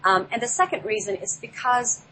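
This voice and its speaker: A woman guest on a talk show, speaking off the cuff in a halting way as she searches for her words. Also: fairly high pitch, lively intonation, bright, forceful tone, a little hiss or hum. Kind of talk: unscripted talk introducing a play.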